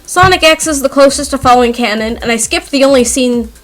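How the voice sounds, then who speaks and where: A young adult woman chatting casually in a giggly, animated, self-deprecating way, as if telling stories to viewers. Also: high voice, brisk. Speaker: YouTube artist telling personal stories. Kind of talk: casual rambling chat over speedpaint. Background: background music.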